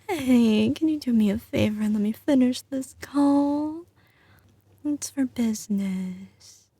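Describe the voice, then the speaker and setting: A woman's voice: fairly high pitch, dark tone, husky, no echo, exaggerated voice. A woman with a slight Southern US drawl playing a doting but ruthless mafia boss girlfriend in an intimate ASMR roleplay. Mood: sweet, coaxing. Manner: drawling, teasing, sliding between commanding and tender. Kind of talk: ASMR audio roleplay, intimate scripted acting.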